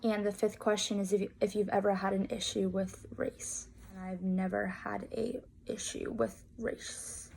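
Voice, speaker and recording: 19 y/o girl talking through a school project, lots of "like", pretty flat delivery. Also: medium pitch, measured pace, creaky, hardly any pauses, a little hiss or hum, rough recording. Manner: rambling, heavy use of "like" fillers. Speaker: student presenting a class project. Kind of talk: casual spoken explanation.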